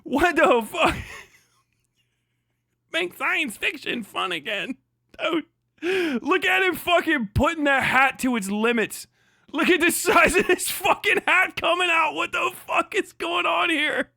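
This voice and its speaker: A man's voice: extremely high pitch, a little slow, vocal fry, sharp tone, no echo, clean studio sound. A young man hosting a livestream, giving crude, mocking reaction commentary and laughing often. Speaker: livestream host. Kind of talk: profane live reaction commentary.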